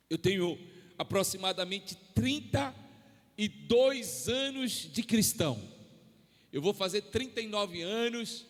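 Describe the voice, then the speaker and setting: Man, 40s, Brazilian Portuguese accent, pastor, impassioned sermon, long reverb in a church hall. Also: sits high. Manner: impassioned preaching, drawn-out emphatic phrases.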